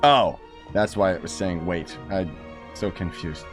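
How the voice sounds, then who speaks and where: A man's voice: low voice, measured pace. A man giving casual, sarcastic and incredulous commentary as he streams a game playthrough. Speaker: gaming streamer. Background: game music.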